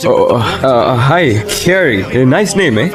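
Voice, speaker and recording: An actor playing a friendly, modest office worker, politely mixing English into Tagalog in a radio drama. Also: male voice, mid-range voice, big pitch swings, slow pace. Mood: amused, friendly. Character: friendly, modest Indian office worker, male love interest. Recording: music playing behind the voice. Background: music.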